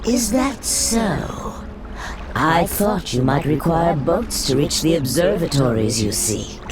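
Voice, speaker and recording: A woman voicing a courteous but sly serpent sorceress with a silky, measured delivery in a fantasy video game. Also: low-pitched, lively intonation, a loud crowd. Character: sly, courteous female naga serpent sorceress offering alliance. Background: loud game music.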